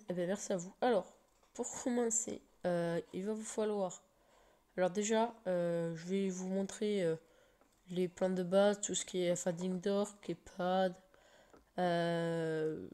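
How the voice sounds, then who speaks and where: Woman with a slight cold, dark-toned voice, halting casual tutorial delivery at a desk mic. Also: medium pitch. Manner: hesitant, full of fillers and restarts. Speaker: game tutorial maker. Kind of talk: casual screen-recorded game tutorial.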